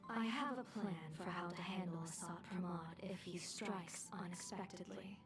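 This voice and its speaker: A woman's voice: fairly low pitch, distant mic, over music, close to an everyday voice. Composed woman strategist from a fantasy game, voiced by an actress. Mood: calm, resolute. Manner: measured, formal, eloquent. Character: composed female fortune-telling strategist, calm and resolute. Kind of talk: scripted game dialogue.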